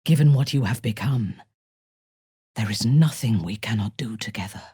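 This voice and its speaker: Husky, imperious dark elf warrior woman. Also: a bass voice, dry recording, studio quality, heavily acted. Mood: low, intimate. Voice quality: husky, breathy. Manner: imperious, deliberate, formal diction. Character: haughty, ruthless drow elf warrior woman, commanding and menacing. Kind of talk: video game voice acting, dramatic character lines.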